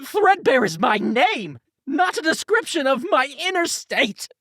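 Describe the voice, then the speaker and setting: A woman's voice: high voice, sing-song, measured pace, fairly bright tone, dry recording, studio quality, heavily acted. A woman playing a fretful, exasperated and melodramatic messenger of fate in a comic audio drama, theatrical in delivery. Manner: theatrical, swooping.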